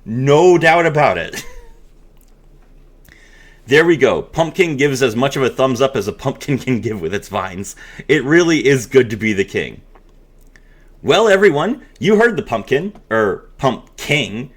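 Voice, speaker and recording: A man hosting a dramatic reading mixed with excited reaction commentary, expressive and given to amazed exclamations. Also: mid-range voice, measured pace, pauses often. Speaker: host.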